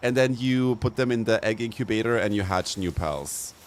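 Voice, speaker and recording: A young man talks over his gameplay in a campy, playful, slangy way and laughs easily. His voice sits fairly low for a man. A faint hum of room noise hangs behind him. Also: moderate pace. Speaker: streamer. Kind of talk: casual live-stream chat over gameplay.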